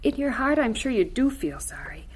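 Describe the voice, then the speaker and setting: A caring church-going mom in a radio drama, soothing, gently preachy, really expressive pitch. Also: female voice, normal speed, husky, full character voice. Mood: earnest, admonishing. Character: gentle, concerned, devout mother.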